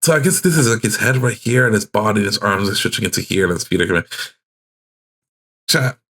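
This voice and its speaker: A man doing casual live reaction commentary as a streamer, informal and halting as he thinks aloud. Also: on the low side, expressive, a bit bright, breathy edge. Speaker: streamer reacting to horror videos.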